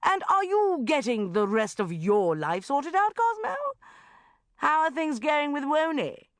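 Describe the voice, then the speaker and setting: A woman's voice: high-pitched, big pitch swings, a little slow, no echo, studio quality, voice pushed up. A woman voicing a combative, sarcastic, outspoken female friend who growls indignantly, as an audiobook character.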